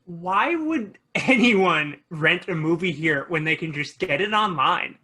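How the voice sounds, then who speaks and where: A performer playing a self-absorbed teenage influencer at a table read of a comedy pilot, with an unhurried, vlogger-like delivery. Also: mid-range voice, expressive, sharp tone. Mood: skeptical, underwhelmed.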